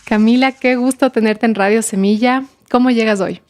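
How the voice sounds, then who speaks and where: A woman's voice: fairly high pitch, steady tone, normal speed. A woman hosting a podcast, reading an introduction and asking interview questions in an upbeat, welcoming way. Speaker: podcast host.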